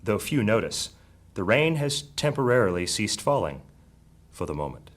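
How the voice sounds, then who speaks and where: A man's voice: fairly low pitch, big pitch swings, normal speed. A man narrating a fantasy audio drama in a dramatic, scripted storytelling style.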